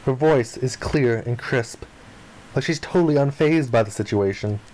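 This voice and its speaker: A man's voice: medium pitch, normal speed, darkish tone, slight background noise, rough recording. Man dramatically reading story text aloud. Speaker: let's player reading a visual novel aloud. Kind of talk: dramatic read-aloud narration of game text.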